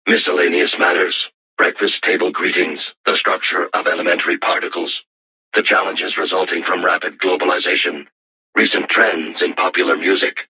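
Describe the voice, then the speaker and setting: Very deep, robotic war machine. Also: male voice, little pitch movement, sharp tone, breathy edge, full character voice, rough recording. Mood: solemn, formal. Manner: formal, measured, stilted machine-like diction. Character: solemn self-aware robot war machine, young but erudite. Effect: robotic voice processing. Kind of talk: scripted video game voice acting.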